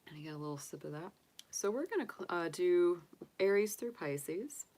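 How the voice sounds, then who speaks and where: A woman's voice: fairly low pitch, lively intonation, normal speed, a little mellow. A woman from the United States, casually chatting on a livestream as a tarot reader, rambling with many fillers and restarts. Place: home room with candles and sage.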